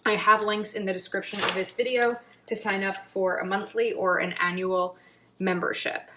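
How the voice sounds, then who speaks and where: A woman chatting casually while decorating her planner, thinking aloud and sometimes dropping to a murmur, her throat a little raspy from a long filming session. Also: mid-range voice, level tone, normal speed, noisy background. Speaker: planner vlogger. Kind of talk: casual planning video chat.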